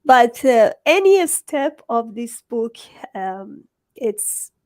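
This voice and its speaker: A woman's voice: fairly high pitch, sing-song, very slow, a little mellow, dry recording. A woman with a Persian accent, a native Farsi speaker, hosting a conversational interview. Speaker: host, book coach.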